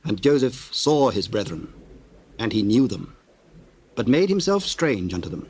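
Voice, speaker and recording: A man narrating scripture in a solemn, measured, formal way with theatrical diction. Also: mid-range voice, big pitch swings, darkish tone, slightly rough.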